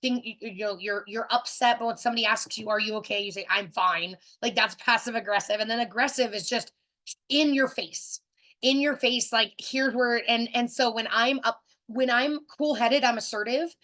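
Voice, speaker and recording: A woman with a somewhat high voice hosts a casual webinar. She speaks directly and with big energy, often restarting her sentences and padding them with fillers. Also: normal speed, pushed and bright. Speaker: webinar host. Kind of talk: casual teaching talk.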